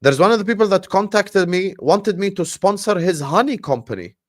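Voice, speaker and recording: A man from Jordan with an Arabic accent, a native Arabic speaker hosting a livestream watchalong in casual, animated chat, giving shout-outs to his viewers. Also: sits high, a little fast. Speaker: livestream host.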